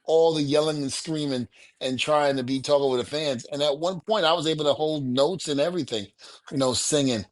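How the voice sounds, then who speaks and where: A man co-hosting a casual talk show, telling stories with animated energy and laughing often. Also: fairly high pitch, a little fast, dry recording. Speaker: podcast co-host. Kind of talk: casual chat.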